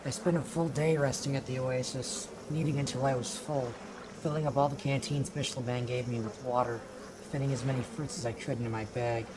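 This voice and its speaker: A performer playing a weary stranded traveler, giving a measured, reflective first-person log in an audio drama. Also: mid-range voice, fairly even intonation, noisy background, close to an everyday voice. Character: weary stranded traveler recounting a journey in alien desert.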